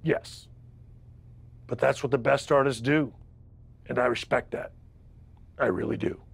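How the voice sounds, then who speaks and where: A man's voice: mid-range voice, steady tone, unhurried, creaky, breathy edge, dry recording, exaggerated voice. A man acting as a candid, proud wrestling manager giving a documentary-style interview in a video game.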